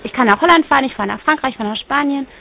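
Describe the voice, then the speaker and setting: A German woman giving lively interview answers and piling up examples. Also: high-pitched, creaky. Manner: fast, animated, piles up examples. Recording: tinny, phone-like radio sound.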